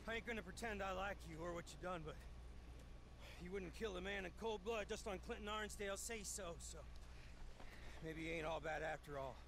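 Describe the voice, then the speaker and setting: An actor playing a brash Old West outlaw in a game, excitable and rough-spoken, with an American accent. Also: male voice, high-pitched, background noise.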